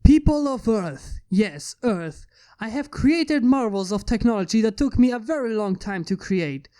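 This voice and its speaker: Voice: mid-range voice, expressive, unhurried, warm tone, clean tone, lightly acted. A narrator voicing a proud, idealistic male inventor making a grand, declamatory speech in a fan fiction story. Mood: proud, earnest.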